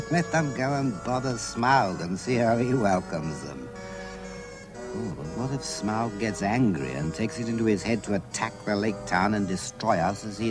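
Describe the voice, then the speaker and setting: An actor playing a grumbling, self-serving and anxious town master, scheming aloud in a radio drama. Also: male voice, on the low side.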